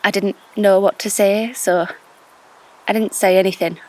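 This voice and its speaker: An actress playing a reflective, observant stranger narrating an audio drama in a measured, wistful way. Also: female voice, medium pitch, fairly even intonation, darkish tone, natural voice, low-quality recording. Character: reflective, observant stranger recalling a park bench encounter.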